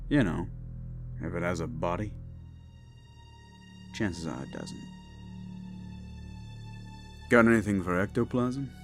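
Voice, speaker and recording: A man voicing a gruff, seasoned demon monster hunter in an action-horror audio roleplay, with a gravelly voice and a wry, laconic, protective manner. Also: deep voice, exaggerated voice. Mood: low, wary.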